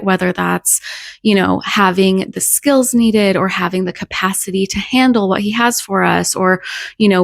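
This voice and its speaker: A young woman with a scratchy, hoarse voice from a cold, talking earnestly and reflectively about faith in a casual vlog. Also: medium pitch, dry recording, studio quality. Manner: earnest, reflective, with soft laughs. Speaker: faith vlogger. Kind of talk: casual devotional talk to camera.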